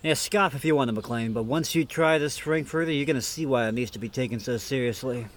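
A man's voice: mid-range voice, a little fast, lightly acted. A man playing a fussy, self-serious and encouraging grilling mentor in a comic radio skit. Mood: indignant. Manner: exacting, encouraging.

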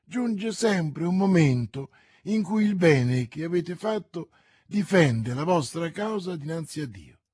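A man's voice: fairly high pitch, lively intonation, slow pace, a little mellow, husky, dry recording, studio quality, pitched above the performer's own voice, heavily acted. A man voicing a young, eager female convent novice who gushes with trusting enthusiasm in an audiobook. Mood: earnest. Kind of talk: audiobook character reading.

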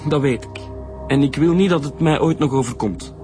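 A man's voice: on the high side, normal speed, music in the background, close to an everyday voice. An actor playing a nervous, jobless divorced father questioned by police, speaking with a Flemish accent in a radio drama. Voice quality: gravelly, stammering.

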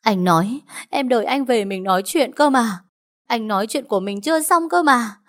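A woman's voice: fairly high pitch, expressive, normal speed, pitched above the performer's own voice, lightly acted. A woman voicing a grieving young woman who screams and pleads through sobs. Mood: anguished sobbing. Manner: wailing, sobbing, pleading. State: crying.

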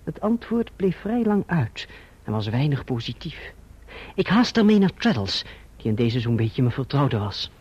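An actor playing an earnest young gentleman in love in a radio play, with a slightly husky voice, on a dull recording. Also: medium pitch, sing-song, moderate pace. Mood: narrating. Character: earnest, anxious young gentleman in love, narrating his story. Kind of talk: radio play acting and narration.

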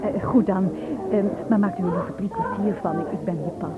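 A woman's voice: medium pitch, wildly swooping pitch, fairly quick, over a noisy crowd. An actress playing a charming, polite young woman in a radio play, apologetic and hesitant in manner. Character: charming young Englishwoman, apologetic, shy and reserved. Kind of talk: Dutch radio play dialogue. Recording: dull old radio recording, music behind. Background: music.

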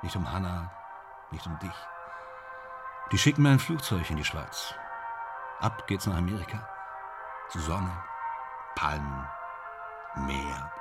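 A man's voice: low-pitched, lively intonation, takes it slowly, long pauses, over music, full character voice. A man playing a boastful, ambitious actor in a German radio drama, speaking in theatrical, self-dramatizing fragments. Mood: dreamy, boastful. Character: boastful, ambitious male stage actor dreaming of Hollywood stardom.

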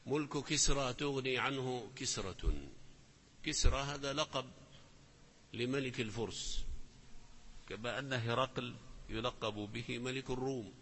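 Man slowly explaining verse in a lesson. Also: medium pitch, fairly even intonation, fairly bright tone, big-room sound, slight background noise. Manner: slow, deliberate recitation of verses with rising emphasis. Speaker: teacher explaining poetry.

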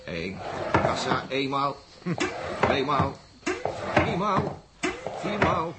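A man's voice: mid-range voice, sing-song, extremely slow. An actor playing a jovial, teasing spaceship crewman in a theatrical, chatty manner in a 1960s radio play. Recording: noisy early 1960s radio recording.

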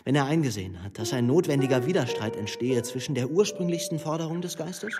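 A man giving a solemn, measured and formal literary reading of philosophical prose. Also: mid-range voice, animated. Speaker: reader. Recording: long reverberant hall, music underneath.

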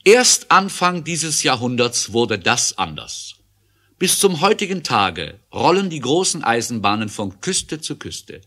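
A man's voice: medium pitch, sing-song, measured pace, sharp tone. A man delivering scripted documentary-style narration for an adventure radio play.

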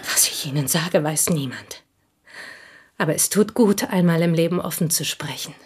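An actress playing a plain, bitter woman in a radio play, confiding old envy in an intense, intimate way that often slips into breathy whispers. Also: deep voice, moderate pace, a little mellow. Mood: stung, defiant. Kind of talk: German radio play dialogue, intimate monologue.

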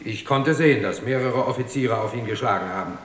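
An actor playing an aggrieved, officious police officer who testifies formally and precisely in a radio drama. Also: male voice, mid-range voice, fairly quick, fairly bright tone, close to an everyday voice. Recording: echoey hall with long reverb.

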